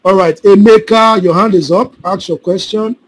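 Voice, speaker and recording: A man leads a live online class over a video call, his voice sitting fairly high. He repeats himself and keeps checking that his students understand. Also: normal speed. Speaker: solar installation trainer.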